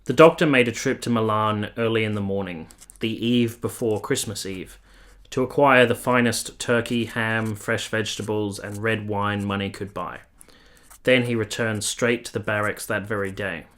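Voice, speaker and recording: Male actor as conflicted 1870s military man, radio drama narrator. Lower register, measured literary delivery, formal and introspective. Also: steady tone, a bit bright, lightly acted. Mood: somber, reflective. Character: brooding, conflicted 1870s soldier narrating his obsession. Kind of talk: literary first-person radio play narration.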